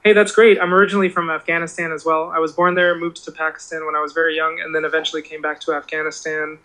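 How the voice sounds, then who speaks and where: A man's voice: on the high side, little pitch movement, fast talker, sharp tone, poor audio. Chirpy AI voice clone of a man. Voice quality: cloned voice sounding like the presenter. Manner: chirpy, eager-to-please assistant. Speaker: AI voice agent. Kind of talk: conversational AI phone agent.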